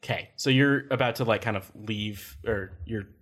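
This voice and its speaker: A man's voice: fairly low pitch, normal speed, a bit bright. A young man runs a tabletop game in a loose, casual way. He laughs easily and swears often between his descriptions of the scene. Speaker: game master.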